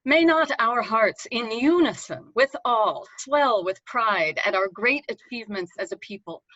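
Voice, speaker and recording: A woman's voice: a little high, lively intonation, measured pace, bright, forceful tone, dry recording. A woman giving a formal dramatic reading of historical oratory, solemn and declamatory. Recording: dull, dry lo-fi audio.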